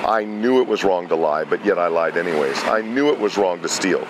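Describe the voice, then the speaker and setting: A man in his fifties with a deep voice gives his personal testimony in an earnest, deliberate way, repeating phrases for emphasis. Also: background noise. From United States. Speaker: guest sharing his conversion story.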